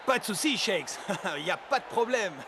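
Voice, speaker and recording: A man playing a cocky, excitable young football star in a dubbed cartoon. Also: sits high, moderate pace, background noise. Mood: breezy. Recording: music behind the voice.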